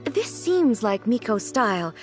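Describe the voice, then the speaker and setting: A regal female ruler in a video game, composed and formal yet earnestly naive. Her fairly high voice has lively, swooping intonation over clear background music. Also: measured pace. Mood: earnest, hopeful.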